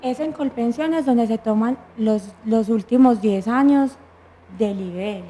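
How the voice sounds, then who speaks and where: Methodical woman, somewhat high voice. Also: slow, warm tone. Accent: Colombian Spanish. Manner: patient, methodical, lists points and answers her own questions. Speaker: lawyer guest explaining pensions. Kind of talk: explanatory studio talk.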